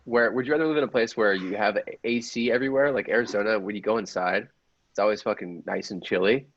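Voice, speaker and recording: Low-voiced man, slangy casual chat. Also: fast, a little hiss or hum. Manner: informal, slangy, swears freely. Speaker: podcast cast member. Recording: dull lo-fi audio.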